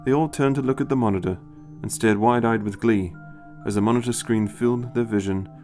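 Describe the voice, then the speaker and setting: A man narrating a scripted story in an expressive storytelling style. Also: medium pitch, a little fast. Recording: music behind the voice. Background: music.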